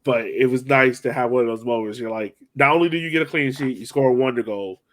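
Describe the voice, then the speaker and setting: A man's voice: mid-range voice, fast talker. A man hosting a sports podcast, chatting informally and slangily as a fan. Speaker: podcast host. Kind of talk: casual sports chat.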